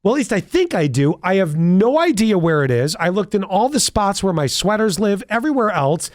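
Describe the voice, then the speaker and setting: A man's voice: high voice, big pitch swings, a little fast, no echo, studio quality. Congested nasal man with a head cold. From Buffalo, New York. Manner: joking, self-deprecating, rambling with fillers. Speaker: podcast co-host. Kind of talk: casual podcast banter. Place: podcast studio.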